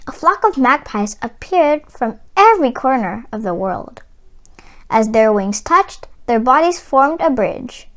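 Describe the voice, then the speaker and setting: Slow, high-voiced woman reading a storybook. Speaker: storybook reader. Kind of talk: expressive children's read-aloud narration.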